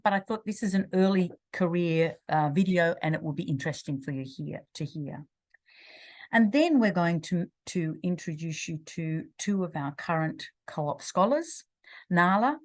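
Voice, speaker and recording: A woman, hosts a live online career event, introducing panel speakers in a chatty way with many restarts. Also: fairly low pitch. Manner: chatty, restarts and stumbles over names. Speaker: host of a university career chat.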